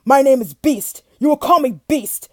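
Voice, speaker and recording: A woman's voice: on the low side, expressive, takes it slowly, gravelly, dark tone, breathy edge, no echo, clean studio sound, exaggerated voice. A woman growling as a huge furious beast. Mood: roaring. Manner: growling, roaring.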